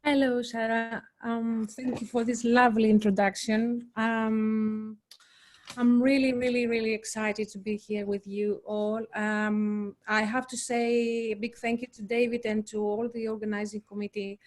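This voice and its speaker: Woman, Greek accent, poet, hesitant and searching, fairly even pitch, video call. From Greece. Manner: halting, searching for words, thoughtful. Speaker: poet, festival guest. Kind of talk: casual conversation.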